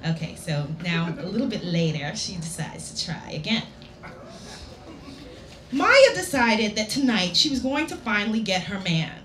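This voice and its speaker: A woman author reading a story aloud to a live audience, playful and theatrical, with dramatic pauses. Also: mid-range voice, big pitch swings, a bit bright. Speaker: author reading own work. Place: indoor lounge at a public reading event. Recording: roomy live venue recording with background noise.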